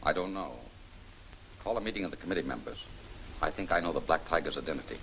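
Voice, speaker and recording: Calm, shrewd amateur sleuth, low voice, brisk 1940s serial delivery, noisy old soundtrack. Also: male voice, exaggerated voice. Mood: calm, probing. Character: calm, shrewd gentleman amateur detective, secretly a vigilante. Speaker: actor in a film serial. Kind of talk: 1940s film serial acting. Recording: noisy 1940 film soundtrack.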